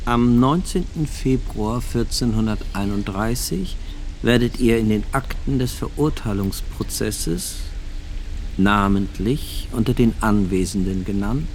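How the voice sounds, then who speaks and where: Sober examiner, faint background noise. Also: male voice, on the low side, measured pace, a little mellow. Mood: sober, probing. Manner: sober, formal, matter-of-fact. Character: formal, probing male inquiry examiner. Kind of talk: radio drama interrogation.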